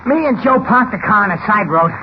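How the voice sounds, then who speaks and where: An actor playing a cringing gangster henchman, out of breath and pleading roughly in 1940s radio style. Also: sits high, animated, a little fast. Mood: breathless, defensive. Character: Lefty, winded, cringing gangster henchman reporting to boss. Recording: noisy, muffled old radio recording.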